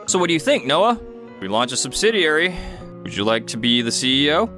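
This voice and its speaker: A man's voice: fairly high pitch, sing-song, brisk, bright, forceful tone, faint music behind. A man playing a kindly, upbeat young entrepreneur in a manga dub, friendly and encouraging. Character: upbeat, kindly young male entrepreneur telling his story. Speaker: narrator and lead character in dub.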